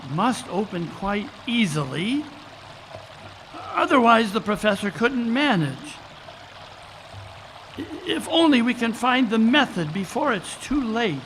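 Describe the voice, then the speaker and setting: A man performs a keen detective in an old-time radio play. The voice is high for a man and forceful, with precise, formal phrasing. He sounds unflappable yet eager as he reasons, over faint noise in a live recording. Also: very animated, unhurried, long pauses, heavily acted. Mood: excited. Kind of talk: old-time radio drama acting, live stage reading. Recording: live conference recording, background water noise.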